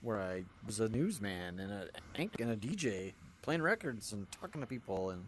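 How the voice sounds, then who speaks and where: A man's voice: fairly low pitch, lively intonation, moderate pace. A man giving casual, grumbling, sarcastic game commentary as a video host, over noisy game audio. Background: game sounds and ambience.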